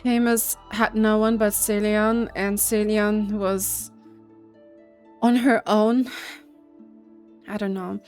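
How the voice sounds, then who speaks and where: A woman game streamer with a medium-pitched voice talks very slowly. Her commentary is casual and chatty, with halting, musing phrases over clear game music. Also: little pitch movement, a little mellow. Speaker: streamer, let's player.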